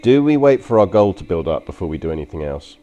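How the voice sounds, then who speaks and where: Deep mellow London man chatting. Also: very animated, fast, dull audio, faint music behind. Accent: London English. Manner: rambling and chatty, frequent ums and uhs. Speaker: game streamer. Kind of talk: casual gameplay commentary to stream chat.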